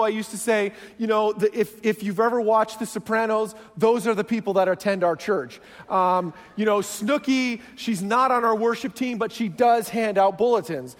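A man with a Canadian accent, preaching as a guest pastor in a self-deprecating, joking way. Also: high voice. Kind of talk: humorous sermon. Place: large church sanctuary. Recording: long church hall reverb.

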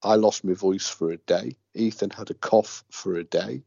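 A man's voice: lowish voice, fairly even intonation, moderate pace, vocal fry, mellow, dry recording. A man from the UK co-hosting a casual radio show, dry and laid-back. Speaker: radio co-host. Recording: dull lo-fi dry audio.

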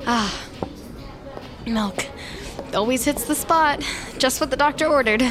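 A woman's voice: high-pitched, measured pace, a crowd in the background. An actress playing an anxious, evasive female office worker who hesitates and stammers defensively in an audio drama. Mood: nervous, evasive. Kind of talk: naturalistic audio drama acting.

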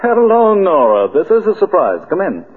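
An actor playing a nervous young gentleman suspect in an old radio drama, answering quickly and defensively. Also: sits high, wildly swooping pitch, background noise. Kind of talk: 1930s radio drama acting. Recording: tinny old radio broadcast with reverb.

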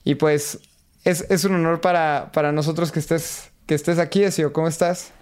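Podcast host guy, upbeat intro, pretty high voice, smooth. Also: fairly even intonation, normal speed, darkish tone.

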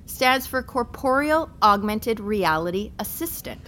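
A woman's voice: a little high, expressive, unhurried, a bit bright, a little hiss or hum. An actress playing a peppy, sassy corporate tour guide in an audio drama, chirpy and coaxing as she addresses a school group. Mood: perky, coaxing. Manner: chirpy, over-enthusiastic, coaxing.

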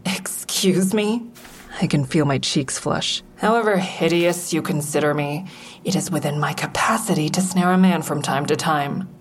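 A woman's voice: low voice, normal speed, natural voice. An actress playing a defensive, sardonic woman comedian in an audio drama, wry and guarded, confiding her thoughts as narration. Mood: indignant. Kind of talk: full-cast audio drama acting with first-person narration.

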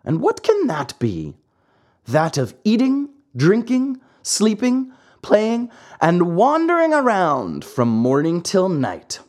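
Woman reader voicing a rude, lazy puppet boy; breathy, sing-song, quick to anger. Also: mid-range voice, huge pitch swings, darkish tone, pitched above the performer's own voice, heavily acted. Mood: defiant. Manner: impudent, rude. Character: impudent wooden puppet boy, lazy and hot-tempered.

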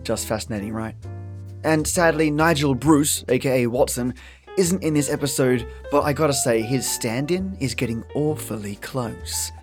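A man's voice: mid-range voice, normal speed. A man hosts a podcast introduction. He has a mid-range voice and a chatty, enthusiastic delivery. Guitar music plays faintly underneath. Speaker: podcast host. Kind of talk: casual scripted podcast introduction. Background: background guitar music.

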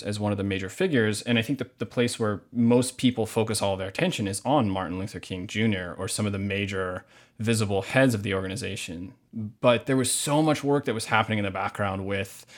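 Guy with a lowish voice, novelist on a podcast, measured and thoughtful. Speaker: novelist guest. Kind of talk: literary reading and thoughtful interview answers.

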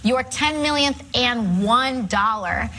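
A woman's voice: on the high side, lively intonation, takes it slowly, sharp tone. A woman politician heard in archive clips, speaking emphatically. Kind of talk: speech and interview clips. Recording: noisy archive clips with background sound.

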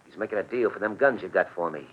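An actor playing a shifty gun racketeer with terse, slangy gangster talk, in a 1940s radio drama style. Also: male voice, sits low, expressive, fast talker. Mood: wary. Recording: muffled 1940s radio recording.

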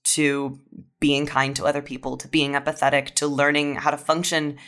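A woman's voice: low-pitched, level tone, moderate pace, fairly bright tone. A young woman talking candidly and earnestly as a writer in a casual, reflective interview. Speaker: audio fiction writer, podcast guest.

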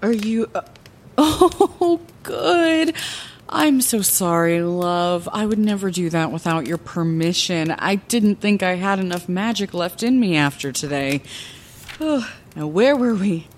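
A woman's voice: medium pitch, slow pace. An actress playing an older woman lighthouse keeper who reminisces in a playful, dramatic, conversational way, like bedtime fantasy storytelling in an audio drama. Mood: gentle, apologetic. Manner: expressive, conversational, theatrical.

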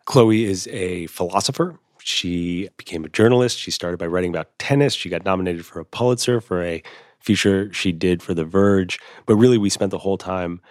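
Man with a hoarse, very low voice. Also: normal speed, no echo, clean studio sound. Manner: relaxed, self-deprecating, with fillers. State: losing his voice. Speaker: podcast host. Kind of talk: casual podcast chat and interviewing.

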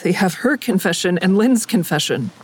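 A woman's voice: fairly low pitch, lively intonation, normal speed, slightly rough. Anxious, conflicted woman in audio drama. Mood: uneasy.

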